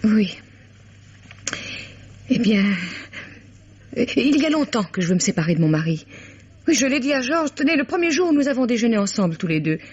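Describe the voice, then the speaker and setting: A self-pitying stage actress in a vintage radio mystery. Her voice is breathy and very lively, halting as she protests and pleads. The recording is old and muffled. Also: on the high side. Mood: flustered, pleading.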